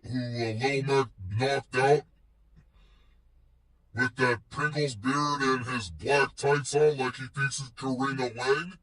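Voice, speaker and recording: A man's voice: medium pitch, steady tone, slow, fairly bright tone, far from the mic, low-quality recording. A man vlogging casually about wrestling in a slangy, rambling, playful way, swearing freely. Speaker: vlogger and show host. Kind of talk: casual wrestling chat vlog.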